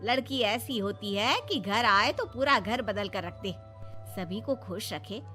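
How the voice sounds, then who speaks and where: A woman's voice: mid-range voice, unhurried, pushed and bright, faint music behind. A woman voicing a bitter, scolding older mother-in-law in a family cartoon. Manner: complaining, scolding.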